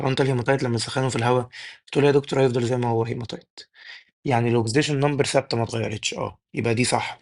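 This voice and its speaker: Brief: young egyptian male tutor, rapid-fire delivery, gravelly and half-gone voice, quizzes himself aloud. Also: medium pitch. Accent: Egyptian Arabic. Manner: rapid drilling, asks and answers his own questions. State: losing his voice. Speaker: chemistry teacher. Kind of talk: exam-prep tutoring lesson.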